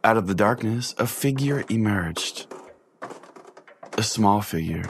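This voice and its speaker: A man's voice: on the low side, animated, a little slow, a little hiss or hum. A man hosting a podcast, telling a scripted comic story in a mock-solemn, profane way. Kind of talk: comic first-person scripted narration.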